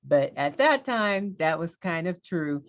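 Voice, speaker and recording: A middle-aged woman from Los Angeles, a judge, telling her career story on a panel in a candid, lively way. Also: lowish voice, a little slow, lo-fi sound.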